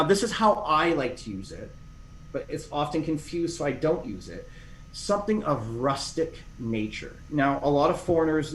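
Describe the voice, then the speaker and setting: Middle-aged male guest, loose rambling storyteller, medium pitch, faint room noise. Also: low-quality recording. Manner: rambling, many restarts and fillers. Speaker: podcast guest. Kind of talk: casual interview chat.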